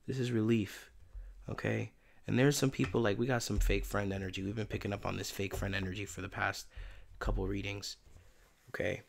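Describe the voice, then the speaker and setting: Low-voiced man giving a gentle tarot reading. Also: flat delivery, brisk, gravelly. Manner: soft, meandering and reflective, with many fillers. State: voice giving out, tired by day's end. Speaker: tarot reader and channeler. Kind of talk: casual spiritual tarot reading.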